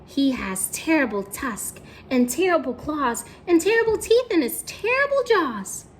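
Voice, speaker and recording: Woman voicing a very high, cheeky little mouse. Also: big pitch swings, measured pace. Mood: boastful, teasing. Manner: sing-song, exaggerated politeness, teasing. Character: small, clever, cheeky, polite storybook mouse. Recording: faint background noise.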